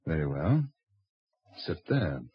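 An actor playing a stern magistrate who interrogates suspects in a commanding, deliberate way, in 1950s radio drama style. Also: male voice, extremely low pitch, very animated, breathy edge, heavily acted. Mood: stern, probing. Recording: muffled, noisy old radio recording.

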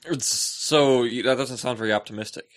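A young adult man co-hosting a podcast, bantering casually in an animated, teasing way. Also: medium pitch, moderate pace. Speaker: podcast co-host.

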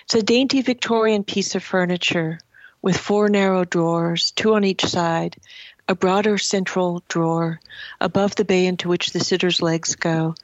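Middle-aged female author, low register, dry close sound, reflective and articulate, given to long cumulative lists. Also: steady tone, normal speed, no room sound, muffled recording. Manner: builds long listing phrases, articulate. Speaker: writer and interview guest. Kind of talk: reflective literary interview, reading from own memoir.

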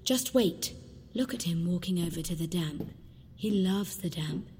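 A woman's voice: fairly low pitch, animated, a little slow, darkish tone. A woman voicing a bossy, manipulative inner voice in an audio drama, giving terse, coolly insistent orders. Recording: long reverb, faint noise.

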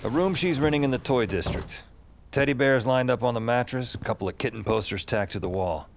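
An actor playing a world-weary detective hero, narrating a hard-boiled supernatural audio drama in a wry, confiding way. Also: male voice, mid-range voice, fairly quick, a little hiss or hum, close to an everyday voice. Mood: wry, brooding. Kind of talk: noir-style audio drama narration and dialogue. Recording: music under the voice. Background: music.

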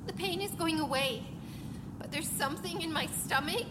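A woman's voice: very high voice, normal speed, noisy background. A woman playing a strained, determined scientist stranded on a colony ship in a science fiction audio drama, speaking in terse, urgent questions. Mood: alarmed, pained. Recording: very echoey space, loud background ambience.

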